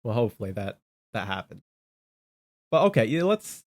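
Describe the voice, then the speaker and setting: Male podcast host, slightly low voice, blunt and dismissive, informal talk. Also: big pitch swings, normal speed, a little mellow, no echo. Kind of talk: casual political chat.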